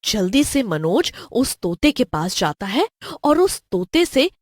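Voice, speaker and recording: Female cartoon horror narrator: animated intonation, measured pace, scripted storytelling in a dry studio sound. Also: on the high side, no room sound.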